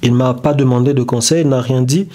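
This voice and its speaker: A man whose first language is not French gives a reflective motivational talk, halting and searching for words with many restarts. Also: medium pitch, fairly even intonation, mellow. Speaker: self-development video creator. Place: indoors beside a whiteboard diagram.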